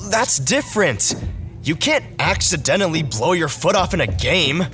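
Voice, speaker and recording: An actor playing a sarcastic, nervous young man in an audio drama, whiny and excitable. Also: on the high side, moderate pace. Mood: grumbling, nervous. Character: sarcastic, pessimistic, nervous young local guy. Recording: loud music behind the voice.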